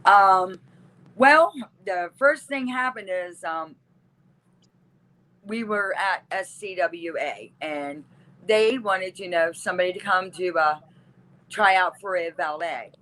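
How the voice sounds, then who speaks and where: A woman's voice: lowish voice, takes it slowly, bright, forceful tone, poor audio. A woman from West Virginia speaking casually as a guest on an interview show about her work as a wrestling manager. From West Virginia, United States. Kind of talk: casual conversation.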